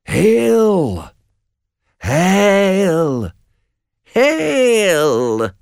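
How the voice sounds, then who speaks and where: A man voicing eerie female witches from a storybook, calling out prophecies in drawn-out chants. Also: high voice, wildly swooping pitch, vocal fry, darkish tone, clear, resonant tone, no echo, studio quality, voice pushed up, full character voice. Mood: eerie hailing. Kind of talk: storybook narration.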